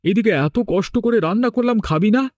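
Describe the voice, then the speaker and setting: A simple, fretful villager in a horror cartoon played by a man. The voice is fairly high and dry, plain-spoken with a scolding edge. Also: animated, normal speed, darkish tone, dry recording. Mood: worried.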